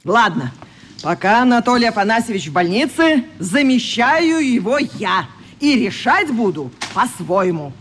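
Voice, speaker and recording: A woman's voice: a little high, big pitch swings, a little slow, a little hiss or hum. An actress playing a strict, indignant schoolteacher in a radio play, lecturing her class in a stern, haughty manner. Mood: scolding, severe.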